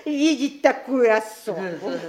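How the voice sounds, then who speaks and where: High-pitched simpering provincial wife. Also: female voice, expressive, takes it slowly, darkish tone. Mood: simpering, flattered. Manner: simpering, mannered politeness. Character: coquettish provincial official's wife, fawning and genteel. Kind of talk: theatrical classic stage comedy acting.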